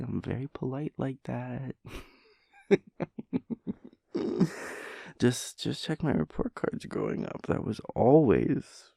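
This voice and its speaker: A man's voice: medium pitch, vocal fry, dark tone, no room sound, full character voice. A man playing a playful, sleepy, chaotic boyfriend in an intimate roleplay audio, rambling and stammering as he teases and coaxes his lover. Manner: rambling, stammering, teasing, breaking into whispers and giggles.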